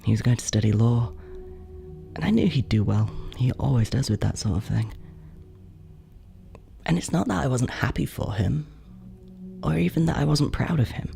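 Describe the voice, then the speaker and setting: A man acting a gravelly, bitter, confessional brother. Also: medium pitch, dark tone, soft background music. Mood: bitter, melancholy. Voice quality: gravelly, creaky. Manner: hesitant, confessional, halting, drops toward whispers. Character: jealous, guilt-ridden older brother confessing dark resentment. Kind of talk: horror audio drama monologue.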